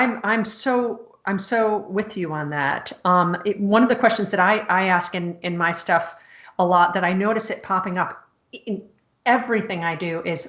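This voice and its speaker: A woman's voice: mid-range voice, a bit bright, reverberant. A woman author hosting a casual conversation, a native Czech speaker raised in the United States, talking with many restarts and fillers. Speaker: host, author.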